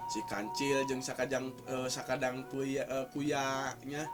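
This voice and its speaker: A man's voice: medium pitch, flat delivery, slow pace. A man with a Sundanese West Java accent explains like a teacher in an informal, hesitant conversational way, repeating key words for emphasis. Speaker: teacher explaining folk tales. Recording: music playing under the voice.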